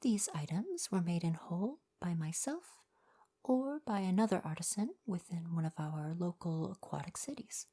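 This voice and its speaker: A gentle young mer merchant played by a woman with a soft, dark-toned voice that sits a little low. The delivery is courteous, articulate and earnest, like a formal but kind shopkeeper. It is an ASMR roleplay performance, spoken softly to a single customer. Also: animated, normal speed. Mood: softly proud. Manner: polite, articulate, formal retail patter.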